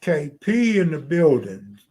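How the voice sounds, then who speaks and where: A man's voice: fairly high pitch, big pitch swings, takes it slowly, mellow. An American man hosting a live stream, chatting casually in slangy, profane, streetwise talk.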